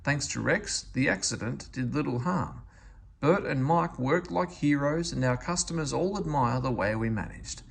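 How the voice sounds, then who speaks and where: A man's voice: medium pitch, expressive, normal speed, creaky, breathy edge. A man voicing a small, encouraging railway boss in a storybook, authoritative and approving. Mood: proud.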